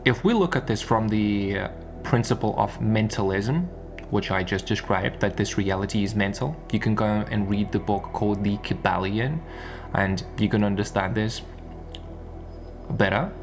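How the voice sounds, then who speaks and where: Low-pitched man explaining ideas casually. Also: normal speed, over music. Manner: emphatic and repetitive, often seeking agreement with tag questions. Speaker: YouTube business and spirituality coach.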